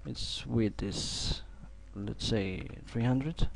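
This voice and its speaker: A young man with an Estonian accent, talking through a coding tutorial at his computer in a halting way, full of fillers and restarts. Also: mid-range voice, vocal fry, slight background noise. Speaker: programming tutor. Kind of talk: screen-recorded coding tutorial. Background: keyboard typing and clicks.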